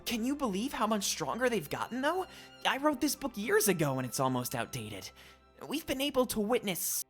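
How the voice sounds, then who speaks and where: Actress voicing a very animated, teasing fantasy scholar. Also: female voice, medium pitch, moderate pace, faint music behind, natural voice. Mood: chatty, amazed. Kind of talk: visual novel voice acting.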